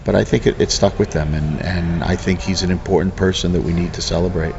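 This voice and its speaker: Middle-aged American male interviewee, deep register, earnest and halting, slightly muffled location sound. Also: steady tone, gravelly. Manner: earnest, halting, circles back mid-sentence. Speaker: interviewee, local arts program representative. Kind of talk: casual interview conversation. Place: event venue before a program starts. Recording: dull, noisy on-location recording.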